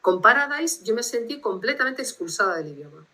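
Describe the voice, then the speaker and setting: A woman's voice: fairly high pitch, sing-song, fairly quick, pushed and bright, rough recording. A woman from Bilbao with a Basque Spanish accent, speaking as a guest translator on a video call in a reflective, rambling way. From Spain, Bilbao.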